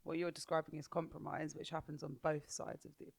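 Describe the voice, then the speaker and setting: A young woman with a deep voice co-hosting a podcast, firm and pushing back in casual chat. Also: little pitch movement, a little fast, creaky, darkish tone. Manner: firm, pushes back, laughs easily. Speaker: podcast co-host.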